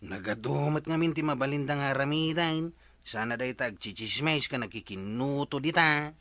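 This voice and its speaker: A man's voice: mid-range voice, moderate pace, sharp tone, dry recording, natural voice. A man acting a nosy, disapproving village man in an Ilocano radio comedy drama. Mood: grumbling.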